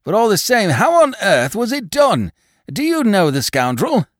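Fat jovial racegoer for a storybook, male reader, excitable bluster, high and animated. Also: normal speed, no echo, clean studio sound. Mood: relieved, indignant. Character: big fat red-faced uncle, jovial bettor, excitable.

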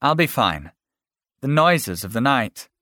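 A man's voice: medium pitch, sing-song, slow, pushed and bright, no echo, studio quality, voice pushed up, heavily acted. A man doing a jumpy young woman. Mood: nervous. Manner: stammering, hesitant. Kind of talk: audiobook character voice.

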